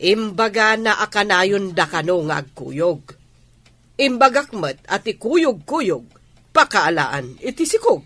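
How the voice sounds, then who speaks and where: A woman's voice: medium pitch, very animated, unhurried, fairly bright tone. A woman with an Ilocano accent playing a skeptical, questioning village woman in a radio drama.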